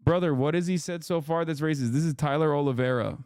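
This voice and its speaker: A man's voice: fairly high pitch, fast, darkish tone, clean tone, no echo, clean studio sound. A man streaming live, ranting to his chat in a heated, profane, rambling way.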